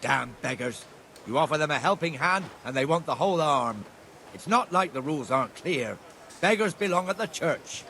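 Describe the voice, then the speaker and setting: An actor playing a blunt, gruff, conversational town guardsman in a video game. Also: fairly high pitch, expressive, normal speed, sharp tone, slight background noise, full character voice. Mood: scornful, angry. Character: gruff town guardsman, chatty, contemptuous of beggars.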